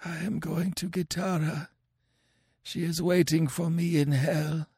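A man voicing a dying, mangled male sorcerer who raves for vengeance in a racking, inhuman croak, gasping and drooling. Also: on the high side, flat delivery, unhurried, darkish tone, no room sound, studio quality, pitched above the performer's own voice, full character voice. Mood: fading. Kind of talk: audiobook character voice.

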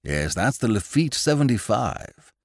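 A man's voice: lowish voice, sing-song, measured pace, no room sound, clean studio sound, voice pushed up, full character voice. A man voicing a courtly, old-fashioned elderly English solicitor in an audiobook, mild and genial, with an English accent and a habit of repeating his phrases. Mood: courtly, proud. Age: older. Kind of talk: audiobook character voice.

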